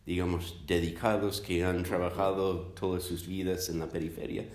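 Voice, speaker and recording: A man from the United States whose native language is English gives considered interview answers. His voice sits very low. He searches for words as he explains, and the room adds an audible echo. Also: level tone, moderate pace, darkish tone. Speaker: interviewed academic, literature scholar. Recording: roomy, echoing sound.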